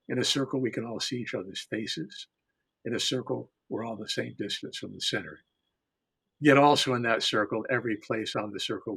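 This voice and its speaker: An older man from the United States, an author and storyteller, giving a reflective panel talk in a measured way with emphatic repetition. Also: medium pitch, creaky, fairly bright tone, slightly rough, dry recording, rough recording.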